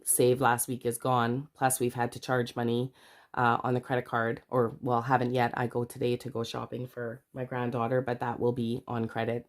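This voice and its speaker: A middle-aged woman from Canada, congested from a cold, chatting casually to camera about her budget with rambling, self-correcting asides. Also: very deep voice. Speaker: budgeting vlogger.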